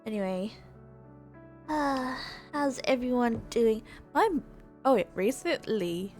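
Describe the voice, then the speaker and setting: A woman's voice: on the high side, lively intonation, slow pace, darkish tone, faint music behind. A woman livestreamer chatting casually in a hesitant, rambling way, often repeating and rephrasing herself. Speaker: livestreamer and gamer.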